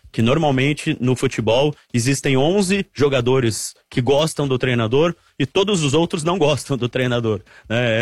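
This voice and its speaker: A man's voice: medium pitch, no room sound, clean studio sound. A middle-aged Brazilian man reporting on the national team, chatty and explanatory, repeating points for emphasis. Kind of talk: live analysis on a football talk show.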